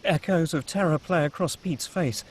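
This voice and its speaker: Husky, higher-pitched male script narrator. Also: moderate pace. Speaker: narrator of a script table read. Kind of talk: scripted narration of screenplay action and scene headings.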